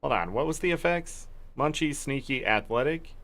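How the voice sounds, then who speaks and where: A man giving casual, unhurried commentary while playing a game, muttering to himself and cursing now and then, his voice slightly hoarse. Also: medium pitch, expressive, a bit bright. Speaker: streamer.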